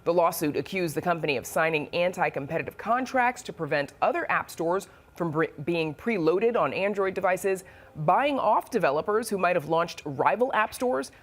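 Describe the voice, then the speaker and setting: A woman with an American accent reading scripted news and hosting studio interviews in a crisp, measured broadcast delivery. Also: lowish voice, expressive. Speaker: news anchor and host.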